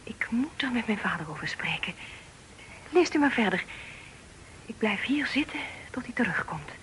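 A high-voiced, pleading young girl. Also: very animated, normal speed, fairly bright tone. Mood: earnest, curious. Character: pirate's sweet young daughter, earnest and pleading. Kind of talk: Dutch radio drama acting. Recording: noisy old radio recording.

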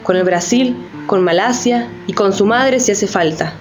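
A woman's voice: medium pitch, a little slow, lightly acted. A woman playing an indignant, sarcastic character in radio theatre, speaking emphatically in rhetorical questions. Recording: slightly dull, music underneath.

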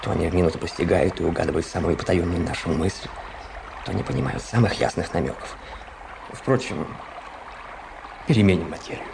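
An actor playing a cynical young aristocrat in a Russian radio drama, musing in a muttered, reflective way. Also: male voice, sits low, a little fast, gravelly, long pauses, background noise.

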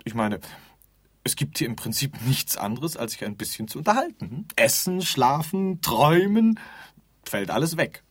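An actor playing an offhand, forgetful keeper of an empty afterlife in a radio drama, rambling and groping for words. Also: male voice, medium pitch, very animated, normal speed, breathy edge, dry recording. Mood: offhand, explaining. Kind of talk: theatrical radio drama dialogue.

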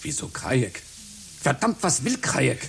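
A man's voice: mid-range voice, big pitch swings, moderate pace, vocal fry, slight background noise. An actor playing an impatient, haggling businessman in a satirical radio play, blunt and snappish. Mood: irritated.

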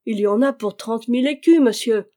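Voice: mid-range voice, a little fast, dark tone, lightly acted. A reader voicing a fussy, wealthy male royal steward who exclaims and frets over his possessions, in an audiobook reading. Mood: indignant.

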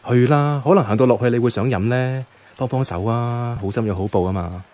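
A man's voice: medium pitch, a little fast, darkish tone, close to an everyday voice. Actor as easygoing Cantonese-speaking male office colleague. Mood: coaxing. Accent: Hong Kong Cantonese. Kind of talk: radio drama dialogue.

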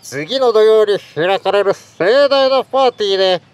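A pompous royal herald. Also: male voice, high-pitched, lively intonation, moderate pace, clean tone. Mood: proclaiming. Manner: stiff, proclaiming, drawn out. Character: formal royal herald, adult man.

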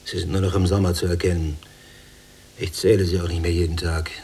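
Husky young male prisoner, lowish pitch, wistful, reflective, radio drama. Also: flat delivery, brisk, mellow. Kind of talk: literary radio play dialogue.

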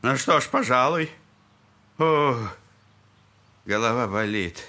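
A man's voice: medium pitch, lively intonation, slow, sharp tone. An actor playing a tipsy, suspicious old father in a Russian audio drama, repeating himself as he wheedles and frets. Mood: maudlin, suspicious. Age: older. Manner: repeats himself, swings between wheedling and shrieking.

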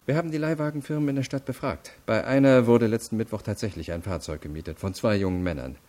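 A male actor as a deputy prosecutor in a radio crime drama. His voice sits low. He lays out evidence deliberately, firm and matter-of-fact, never giving ground. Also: mellow, lightly acted. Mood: firm, methodical. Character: methodical, unyielding male deputy prosecutor interrogating.

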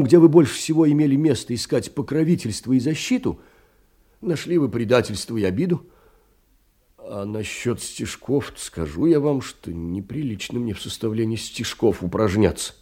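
An actor playing a meek, kind-hearted, middle-aged poor clerk in an audio play, fussy, rambling and self-deprecating, with swooping emphasis. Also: male voice, mid-range voice, mellow, breathy edge. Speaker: stage actor. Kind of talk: theatrical audio-play monologue of letters.

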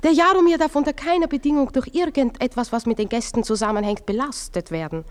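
A poised young wife, played by an actress, genteel and earnest. She speaks in a somewhat high voice, in the manner of a classic radio play. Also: fast talker, close to an everyday voice. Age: young adult.

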